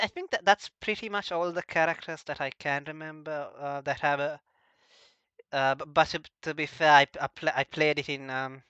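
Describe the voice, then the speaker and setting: A man hosts a casual chat in a bright, clear voice of medium pitch. He hesitates heavily and stammers over word starts. The recording is dry and slightly muffled. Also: no room sound. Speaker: host of a visual novel talk stream.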